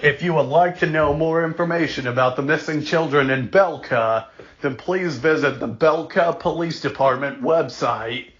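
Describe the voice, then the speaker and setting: A man playing a formal, grave emergency alert announcer, reading scripted warnings in a deliberate, measured way. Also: fairly high pitch, a bit bright, natural voice. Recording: dull lo-fi audio.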